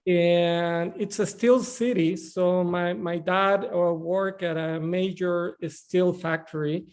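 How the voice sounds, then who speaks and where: A man's voice: high voice, fairly even intonation, slow. A middle-aged man from northern Mexico, speaking English as a guest on a video-call interview, often restarting and repeating words. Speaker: bank CEO, guest.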